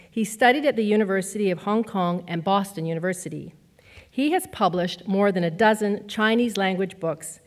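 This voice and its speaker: A woman hosting a literary event on a theatre stage, reading housekeeping announcements and a speaker introduction from a script. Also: medium pitch. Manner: brisk, reads from a prepared script. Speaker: event host.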